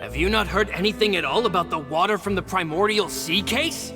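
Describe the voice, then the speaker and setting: An actor playing a courteous, calm, sympathetic gang member in a video game, formal and measured. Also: male voice, sits high, over music. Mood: serious. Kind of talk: video game dialogue acting.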